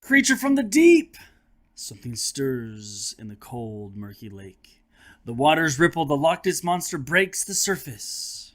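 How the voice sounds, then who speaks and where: A man reads a children's book aloud as a teacher and host would. His voice sits a little high and swings widely in pitch. The delivery is dramatic and expressive, with conversational asides to young listeners. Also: unhurried.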